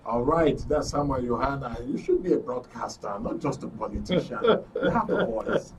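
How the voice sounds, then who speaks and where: A man's voice: medium pitch, animated, normal speed. A man with a Nigerian accent presenting a live current affairs talk show in an informal, jocular way. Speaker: host of a current affairs radio and TV programme. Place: broadcast studio. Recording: noisy studio, distant mic.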